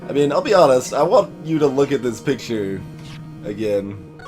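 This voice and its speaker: Guy doing lets play commentary, kinda rambly and stammery, pitch moves around, game music behind. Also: big pitch swings, normal speed, darkish tone. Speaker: let's player. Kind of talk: casual gameplay commentary.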